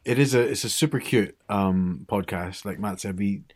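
A man's voice: on the low side, moderate pace, a little mellow. A man from Ireland co-hosting a podcast, chatting casually and restarting his sentences. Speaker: podcast co-host. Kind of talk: casual chat.

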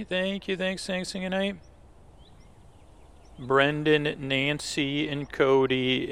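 A man hosting a podcast, reading thanks and show notes in a casual, rambling, low-key way with a creaky voice. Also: fairly bright tone. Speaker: podcast host. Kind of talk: casual thank-you and sponsor read.

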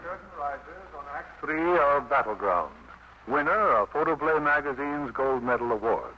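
An American man announcing a 1950s radio theater program in a formal, measured way. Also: fairly high pitch, animated, soft background music. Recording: muffled old radio broadcast.

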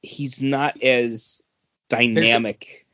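A man's voice: mid-range voice, fairly even intonation, extremely slow, sharp tone. A man co-hosting a podcast, sharing opinions on film and TV in casual chat over a voice call. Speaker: podcast co-host. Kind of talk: casual movie and TV review chat. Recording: muffled, dull voice-call audio.

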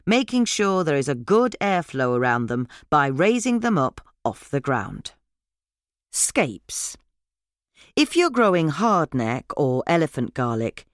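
Narrator, lively intonation, dry close sound. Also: mid-range voice, dry recording. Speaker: narrator reading a magazine article aloud. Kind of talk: scripted narration of a gardening article.

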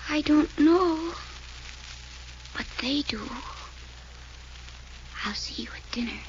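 A frightened young woman with a high, breathy voice. She is secretive yet insists she is fine, in vintage radio drama style on a muffled, faintly noisy recording. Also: lively intonation, takes it slowly. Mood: fearful. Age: young adult. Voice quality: breathy, dropping to a fearful whisper. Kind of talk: 1940s radio mystery acting.

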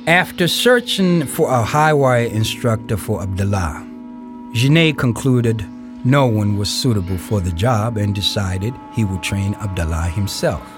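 A man acting as the narrator of an audio play, giving measured, factual, documentary-style narration. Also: fairly low pitch, expressive, music in the background. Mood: sober, factual. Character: sober male documentary-style narrator of a biography.

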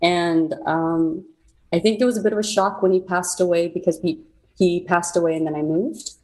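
A woman's voice: fairly low pitch, steady tone, moderate pace, poor audio. A young woman from South Korea, telling her immigration story as an interview guest, reflective with frequent ums. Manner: reflective, many ums and soft laughs. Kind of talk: personal storytelling.

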